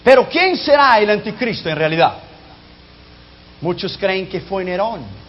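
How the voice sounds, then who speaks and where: Emphatic high-voiced male preacher, lo-fi. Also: big pitch swings, a little slow, a little hiss or hum. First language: not Spanish. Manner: emphatic, drops low and soft on key lines. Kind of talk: sermon on prophecy. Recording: dull lo-fi recording.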